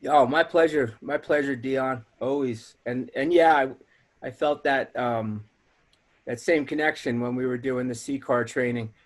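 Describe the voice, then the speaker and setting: A man's voice: medium pitch, measured pace. A man, a recovery coach and guest, talking earnestly and with energy in a casual interview. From Long Island, New York.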